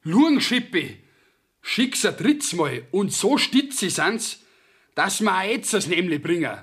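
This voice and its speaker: Higher-voiced Bavarian peasant lad. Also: male voice, very animated, slow, fairly bright tone, full character voice. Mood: earnest recounting. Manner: rustic, artless, rambling storytelling. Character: plain-spoken, stubborn young peasant lad, the defendant. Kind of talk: German dialect radio play acting.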